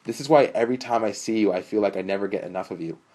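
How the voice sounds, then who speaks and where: A husky, low-toned young wisecracker played by a male narrator from a storybook reading. He talks in crude slang with sarcastic, excitable emphasis, and his voice stays dark and rough-edged. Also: very fast, close to an everyday voice. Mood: amused sarcasm.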